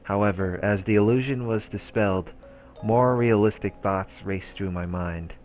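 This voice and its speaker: Low voiced guy reading a visual novel out loud for a lets play, game music behind him. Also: normal speed. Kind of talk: reading game narration aloud with casual asides.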